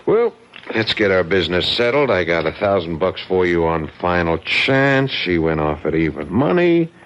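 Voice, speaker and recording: A man's voice: low voice, big pitch swings, moderate pace, a bit bright, highs cut off, heavily acted. An actor playing a coolly sardonic, menacing bookie in a radio drama, with slangy, mocking tough-guy talk. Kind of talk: 1970s radio drama acting.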